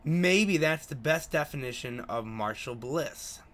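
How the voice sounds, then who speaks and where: A man's voice: a little high, expressive, measured pace, fairly bright tone. A man hosting a gaming video, casually commenting and reading game text aloud, sometimes stumbling over words.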